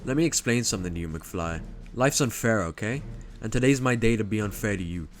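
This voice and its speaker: A man's voice: lowish voice, lively intonation, fairly quick, close to an everyday voice. A man playing a swaggering teenage bully in a motion comic, blunt, taunting and threatening. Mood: menacing. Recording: music behind the voice.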